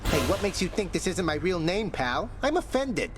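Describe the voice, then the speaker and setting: An actor voicing a cocky, joking undead skeleton in a video game, with a brash, streetwise, teasing manner. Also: male voice, high-pitched, sing-song, normal speed. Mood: mock offended. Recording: loud music under the voice.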